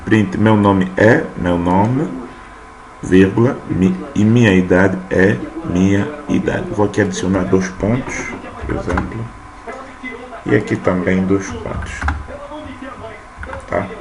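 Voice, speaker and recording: A man's voice: sits low, slow pace, long pauses. A man in his thirties teaching programming while typing code on screen, often checking in with "tá?". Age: 30s. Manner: talks while typing, frequent "tá?" check-ins. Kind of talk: screen-recorded coding lesson. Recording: noisy room ambience.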